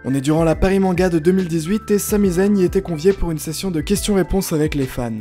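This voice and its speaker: A man's voice: sits high, fast, dark tone. A man hosting a wrestling countdown video with scripted narration. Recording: music behind the narration. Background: background music.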